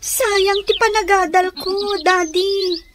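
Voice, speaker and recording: A young Filipino daughter in a radio drama. Her voice sits very high and carries a plaintive, pleading emotion throughout. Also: fairly even intonation, takes it slowly, background noise. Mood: pleading, aggrieved.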